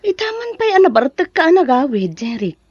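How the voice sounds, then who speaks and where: An actress with an Ilocano Filipino accent playing a nagging, suspicious wife in an audio drama. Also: female voice, high-pitched, big pitch swings, brisk, darkish tone, dull audio, soft background music.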